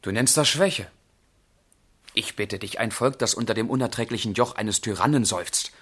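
A man's voice: lowish voice, moderate pace, a bit bright, breathy edge, no echo. An actor playing a passionate, brooding young man of the 1770s in a literary radio drama, with fervent, declamatory delivery. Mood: fervent, arguing. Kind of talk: literary radio drama, dialogue and letter monologue.

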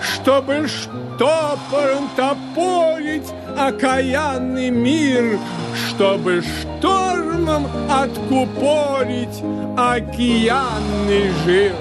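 An actor playing an eccentric, earnest islander poet who declaims absurd verse in a radio comedy. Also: male voice, very high voice, extremely slow, exaggerated voice. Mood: solemn, declamatory. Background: music behind the voice.

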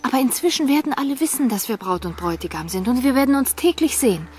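A young woman in love in a radio drama. She is determined and impatient, pressing her point directly in a fairly high voice. Faint music plays behind her. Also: animated, quick pace, darkish tone. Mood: insistent. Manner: insistent, direct. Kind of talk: radio play acting.

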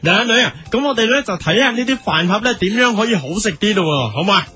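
A man's voice: sits high, animated, quick pace, sharp tone, soft background music. A man playing a silly, giggly food-show host in a radio comedy sketch, constantly repeating a pet catchphrase. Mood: giggly, upbeat. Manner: giggly, repetitive, catchphrase-laden patter.

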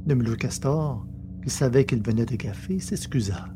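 A man's voice: mid-range voice, moderate pace. A man reading scripted literary science fiction narration as the storyteller. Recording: clearly noisy background with hum.

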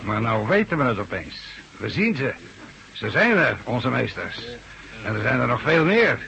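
A man's voice: medium pitch, big pitch swings, moderate pace, vocal fry, bright, forceful tone, heavily acted. An actor playing a chatty, devout barman in a remote bar, in the style of a Dutch radio drama. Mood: earnest, fervent. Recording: dull, lo-fi old radio recording.

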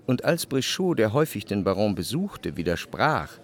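An actor playing a reflective, wryly observant narrator in a radio play, articulate and measured in his literary storytelling. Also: lowish voice, very animated.

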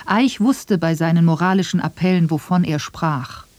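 A woman's voice: on the low side, moderate pace, a little mellow, dry recording. Female radio essay narrator, dry studio sound.

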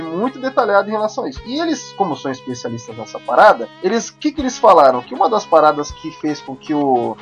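A man's voice: a little high, lo-fi sound. A Brazilian man co-hosting a podcast with a casual, improvised rant, grumbling in emphatic, slangy speech. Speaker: podcast co-host.

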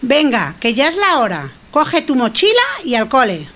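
Woman acting, affectionate mother, high animated voice, coaxing, dismissive, audio drama. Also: moderate pace, heavily acted. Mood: reassuring. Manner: coaxing, reassuring. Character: affectionate, dismissive mother reassuring her child.

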